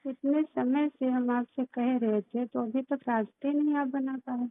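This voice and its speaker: A high-pitched woman speaks on a phone call with thin, band-limited sound. She argues privately, pressing her point with insistent reproach. The line is dry, with little room sound. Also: steady tone, measured pace, no echo. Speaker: private caller.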